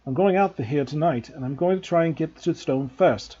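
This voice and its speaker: A man's voice: on the high side, brisk, mellow, highs cut off, natural voice. A man voicing a determined young schoolboy who speaks in an urgent, earnest way. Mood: defiant.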